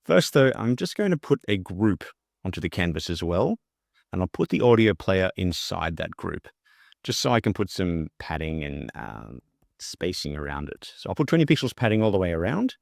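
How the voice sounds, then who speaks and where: A man narrating an instructional software tutorial, explaining things step by step as the presenter. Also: low-pitched, sing-song, moderate pace, creaky, no room sound, clean studio sound. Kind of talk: instructional screen-share narration.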